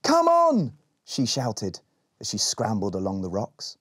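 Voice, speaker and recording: A man in his twenties reading a children's picture book aloud in an expressive storytelling way. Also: on the low side, unhurried, breathy edge. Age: 20s. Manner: expressive, acts out dialogue.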